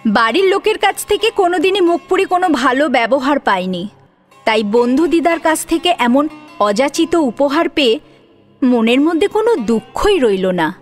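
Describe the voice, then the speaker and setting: A woman's voice: high voice, sing-song, moderate pace, faint music behind. A woman narrating a serialized story dramatically, as its storyteller.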